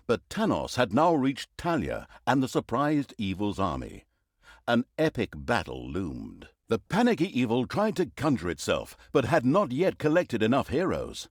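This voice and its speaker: A man playing a wry, mocking storyteller who narrates a dark fantasy comedy game in a theatrical way. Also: mid-range voice, dry recording, clean studio sound.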